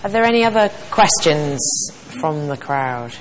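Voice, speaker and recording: A woman chairing a conference session, briskly inviting questions from the audience. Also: sits low, expressive, slight background noise, rough recording. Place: large conference hall stage.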